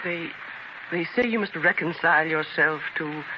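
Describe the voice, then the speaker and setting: A woman's voice: low voice, normal speed, natural voice. A woman acting in a 1930s radio drama as the devoted, anxious wife of an ailing man, earnest and theatrical. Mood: anxious, gentle. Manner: earnest, theatrical, hesitant on hard news. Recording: scratchy, muffled 1930s radio transcription.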